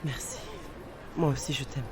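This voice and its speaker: A woman's voice: rumbling low, fairly even intonation, moderate pace, noisy background. A woman playing a fragile, guarded investigator in an audio drama, speaking tersely and informally. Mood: shaken, tender. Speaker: voice actor.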